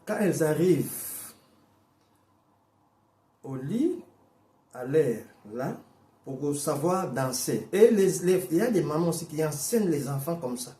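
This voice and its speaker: A man preaching a sermon in as a Portuguese first-language speaker, emphatic, repeating and restarting phrases, swinging between slow stressed words and quick bursts. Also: on the high side, dark tone, roomy sound. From Portuguese-speaking Africa.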